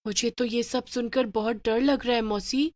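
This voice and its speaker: A woman voicing an excitable, easily scared young girl in an animated children's story. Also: fairly high pitch, moderate pace, pitched above the performer's own voice, close to an everyday voice. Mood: worried.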